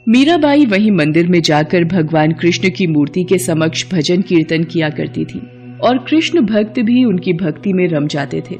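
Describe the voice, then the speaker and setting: A woman's voice: lowish voice, darkish tone. A woman giving scripted narration of a devotional moral story.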